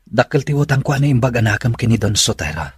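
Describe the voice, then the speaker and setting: A man playing a troubled, defensive young lover in a radio drama, with a very husky voice. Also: mid-range voice, expressive, fast talker, no echo, studio quality.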